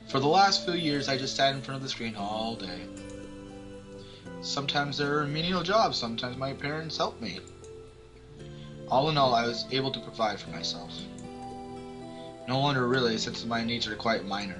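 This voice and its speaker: Male amateur let's-player, slightly lower-mid voice, casual narration read-aloud with clear background music. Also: moderate pace, long pauses. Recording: music behind the voice. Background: game music.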